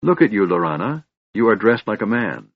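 A man's voice: lowish voice, sing-song, fairly quick, breathy edge, no room sound, narrow, tinny audio, exaggerated voice. A man voicing a proud, stern elven elder brother in a fantasy audiobook, speaking in a haughty, formal, accusing way. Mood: scornful reproach.

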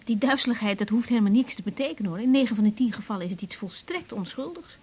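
An actress playing a caring young female doctor in a radio drama, speaking haltingly and naturally, often repeating words. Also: a little high, lively intonation, dark tone, husky. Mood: reassuring.

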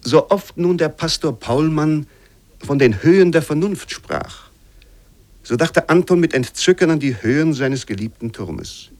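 Measured male literary reader, close and dry. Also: fairly high pitch, expressive, darkish tone, no room sound. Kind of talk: scripted literary narration, reading a novel aloud.

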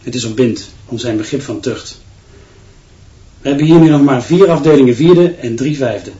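An actor playing a sombre schoolteacher in a radio drama dialogue. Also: male voice, medium pitch, normal speed, dark tone, lightly acted. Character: sombre male teacher discussing a declining school. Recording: slightly dull, muffled sound.

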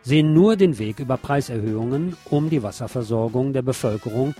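Music with guitar plays behind a man presenting the news. His voice sits at a medium pitch. He reads from a script as a host would and slips in sardonic, opinionated remarks of his own. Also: lively intonation, a little fast, dark tone. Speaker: radio show host reading local news. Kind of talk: scripted news with personal commentary.

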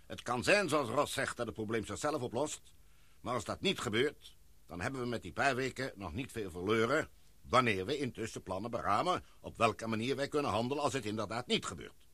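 An actor playing a measured, authoritative male expedition leader in a radio play. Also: medium pitch, expressive, sharp tone, breathy edge, no echo. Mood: grave. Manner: measured, deliberate, commanding.

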